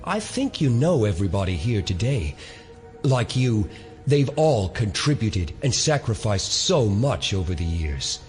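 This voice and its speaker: Slow, menacing conspiracy elder. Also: male voice, on the low side, big pitch swings, faint music behind. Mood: smooth veiled menace. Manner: measured, deliberate, coldly courteous. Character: menacing male elder leading a secret conspiracy. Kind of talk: audio drama acting.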